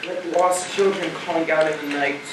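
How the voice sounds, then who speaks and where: A male student actor as a grim, wry rocket captain with a slightly high voice. He speaks theatrically in a stage drama, caught from a distance in a very echoey hall with clear background noise. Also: steady tone, normal speed, close to an everyday voice. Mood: stunned, mournful. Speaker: actor in a school theater production. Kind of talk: amateur stage play acting. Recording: echoey noisy stage recording from far off.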